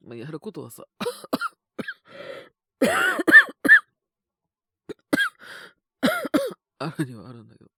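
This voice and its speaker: A man with a sore, scratchy throat and a cough, chatting casually and intimately in soft, hesitant murmurs as if to someone beside him. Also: extremely high pitch, huge pitch swings, extremely slow, pauses often. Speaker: voice actor making situation-voice videos. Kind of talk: intimate casual chat to an unheard partner.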